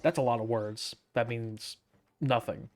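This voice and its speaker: A man gives off-the-cuff gaming commentary at an ordinary mid-range pitch. He is dry and sardonic, answering back casually, with game music clearly audible behind him. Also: fairly even intonation, a little slow. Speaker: gaming video host.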